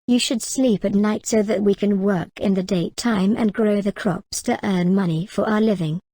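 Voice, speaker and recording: A woman's voice: mid-range voice, steady tone, moderate pace, dark tone, no echo, clean studio sound, lightly acted. A woman playing a worried, practical farmer's wife who pleads and reproves in a cartoon moral story.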